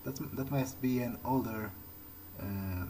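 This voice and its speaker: A man's voice: mid-range voice, slow pace, warm tone, slight background noise, rough recording. A man improvising in character as he plays a video game, speaking in a hesitant, musing, understated way. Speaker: let's player.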